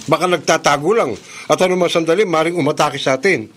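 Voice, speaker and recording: An actor playing a guilt-ridden young scientist in a Filipino horror radio drama, earnest and troubled, with a Filipino accent. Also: male voice, on the high side, fast, fairly bright tone. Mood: grave foreboding. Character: guilt-ridden son, amateur chemical experimenter.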